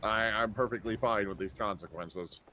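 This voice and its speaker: A man's voice: fairly low pitch, moderate pace, sharp tone, a little hiss or hum, poor audio. A man giving casual commentary as he streams a game.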